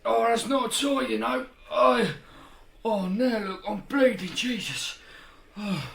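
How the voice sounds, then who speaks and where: An actor playing a crude, cruel, cowardly jailer in a horror audio drama, coarse and agitated. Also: male voice, very high-pitched, takes it slowly, gravelly, exaggerated voice. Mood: pained. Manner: coarse, agitated, shouting and groaning. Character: crude, cruel, bullying male jailer, cowardly when hurt. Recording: roomy echo, faint noise.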